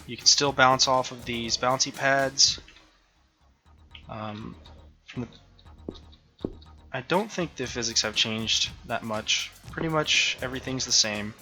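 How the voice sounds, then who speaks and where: A man's voice: medium pitch, takes it slowly, pauses often, pushed and bright. A man developing his own game, talking casually and thinking aloud with frequent ums and uhs as he shows it off. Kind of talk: casual devlog walkthrough. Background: faint background music.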